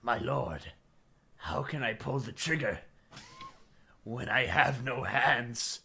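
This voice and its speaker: A man's voice: mid-range voice, slow pace, creaky, sharp tone, husky, pitched above the performer's own voice, exaggerated voice. A man voicing a timid, pitiful robot soldier in a fan comic dub, pleading with his master.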